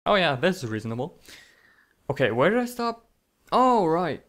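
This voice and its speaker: A man's voice: on the high side, sing-song, moderate pace, a bit bright. A man telling comedic scripted stories in a theatrical, sarcastic, mock-dramatic manner. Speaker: storytelling video creator.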